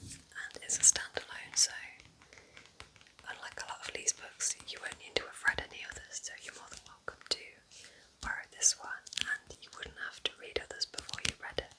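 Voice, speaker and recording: A woman whispering gently and informally in an intimate, unhurried ASMR style, as a host giving personal recommendations. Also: rough recording.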